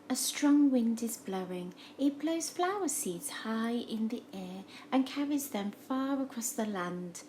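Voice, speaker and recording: A woman reading a children's story aloud as a teacher would, with simple, deliberate and expressive phrasing. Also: a little high, a little hiss or hum.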